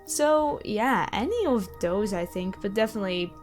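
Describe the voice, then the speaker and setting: A woman with an enthusiastic, expressive way of talking, casually answering questions as the host of her own channel. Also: mid-range voice, normal speed. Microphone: close USB microphone. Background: background music.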